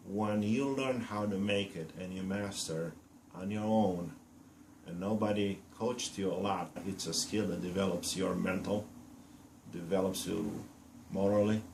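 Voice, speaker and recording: Gruff Romanian-accented man in his fifties. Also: sits low, darkish tone, reverberant, slight background noise. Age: 50s. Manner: blunt, boastful, broken English with pauses and fillers. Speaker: reality show applicant. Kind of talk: casual self-introduction to camera.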